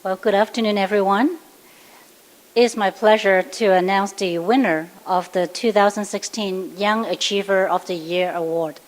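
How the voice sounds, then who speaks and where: A woman's voice: mid-range voice, fairly even intonation, moderate pace, rough recording. A woman presenting an award on stage, formally building up to the winner's name. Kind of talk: stage announcement.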